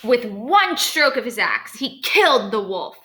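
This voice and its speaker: A woman narrating a children's storybook aloud as a storyteller, expressive and dramatic, stressing key words. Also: fairly high pitch, unhurried.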